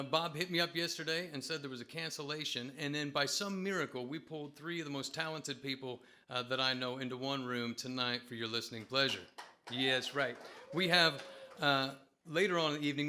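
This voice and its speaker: A man's voice: a little high, pushed and bright. A man emceeing a live music show at a winery, introducing the performers in an upbeat, joking way over a PA microphone. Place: winery room with a live audience.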